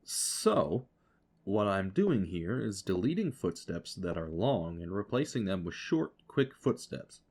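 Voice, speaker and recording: A man's voice: low-pitched, sing-song, measured pace, a little mellow, husky. A man giving an explanatory tutorial voice-over as an audio producer, instructive and speaking directly to the listener.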